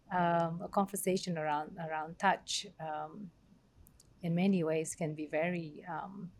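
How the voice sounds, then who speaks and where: A podcast co-host from Indonesia, talking hesitantly with frequent fillers. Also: male voice, on the high side. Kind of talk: casual podcast conversation.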